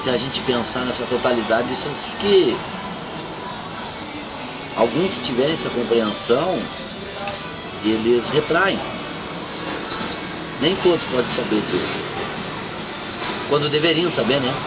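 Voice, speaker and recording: A man's voice: medium pitch, expressive, measured pace, pauses often, low-quality recording. A man in a casual, reflective and opinionated political discussion. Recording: very loud music and vehicle noise.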